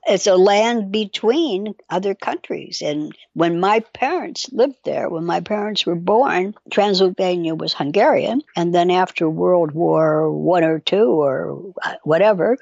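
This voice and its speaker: A woman's voice: lowish voice, animated, unhurried, creaky, a little mellow, no room sound, lo-fi sound. An older woman with a wry, digressive manner, casually explaining and reading aloud as a guest expert. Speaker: psychologist guest.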